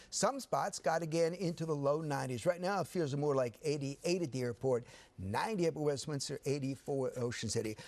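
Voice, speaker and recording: A man's voice: on the high side, darkish tone. A man giving an unscripted live forecast as a TV weatherman in a weather center.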